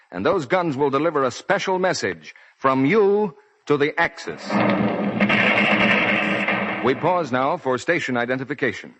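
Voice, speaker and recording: Animated American 1940s radio announcer, male. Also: on the high side. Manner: polished, explanatory. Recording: dull 1940s radio broadcast.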